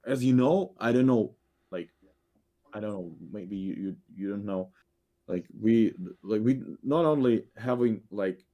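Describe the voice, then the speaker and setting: Mellow low-voiced man. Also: unhurried. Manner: hesitant, many fillers and restarts. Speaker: game director. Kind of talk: podcast guest update, halting.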